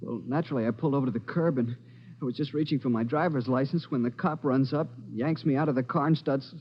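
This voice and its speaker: An actor playing a dazed, shaken man recounting an attack in an old radio drama, halting and earnest. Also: medium pitch, slight background noise, lightly acted. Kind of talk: 1940s-50s radio drama acting. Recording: dull vintage radio, music behind.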